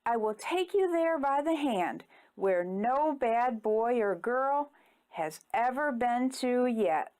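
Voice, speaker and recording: A woman's voice: a little high, expressive, takes it slowly, a little mellow. A woman voicing an alluring spirit of nature in a storybook, calling out in a beckoning singsong. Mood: beckoning, enchanting.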